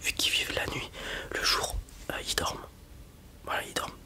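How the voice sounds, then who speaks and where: A person whispering softly and breathily, improvising a hesitant, explanatory ASMR roleplay as a dragon breeder. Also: normal speed. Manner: soft-spoken, hesitant, explanatory.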